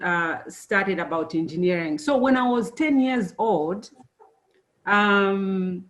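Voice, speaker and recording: A middle-aged woman born and raised in Zambia, giving a friendly, unhurried presentation to students, with many fillers. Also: medium pitch, fairly bright tone. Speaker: engineer giving a talk to students.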